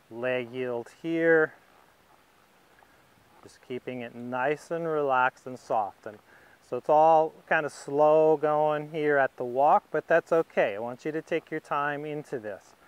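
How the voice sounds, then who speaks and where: A man giving a calm, patient, step-by-step riding lesson, narrating as he rides. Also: mid-range voice, clean tone, highs cut off. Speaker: riding instructor. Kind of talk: instructional demonstration narrated while riding.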